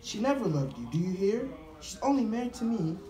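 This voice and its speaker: An earnest, intense romantic rival, voiced by a puppet-show performer, insisting on his claim. The delivery swings widely in pitch. It is recorded in a roomy space with the mic far off. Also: very animated, fairly quick, dark tone, natural voice. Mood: insistent. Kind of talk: amateur puppet show reading.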